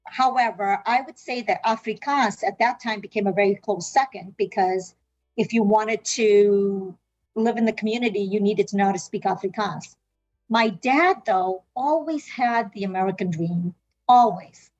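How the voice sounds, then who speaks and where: A woman's voice: mid-range voice, normal speed, a little mellow, highs cut off, soft background music. A middle-aged woman from South Africa whose first language is Portuguese, earnestly telling her story in an interview with many fillers and restarts. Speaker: interviewee, medical interpreting leader. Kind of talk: reflective personal storytelling.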